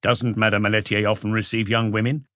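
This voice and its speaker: A man's voice: fairly low pitch, little pitch movement, brisk, a bit bright, no room sound, close to an everyday voice. Male narrator as police chief, blunt, probing, persistent, audiobook dialogue, dry recording. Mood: probing, insistent.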